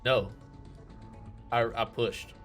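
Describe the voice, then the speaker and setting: Male tabletop player, medium pitch, conversational delivery, light music underneath. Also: fairly even intonation, drawn-out words, fairly bright tone. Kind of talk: casual table chat.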